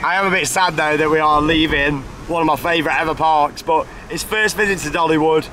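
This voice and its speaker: A man's voice: a little high, a little fast, a crowd in the background. A young man hosting a travel vlog from inside a car, chatting casually and upbeat with plenty of fillers. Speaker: vlog host. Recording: car and road noise behind.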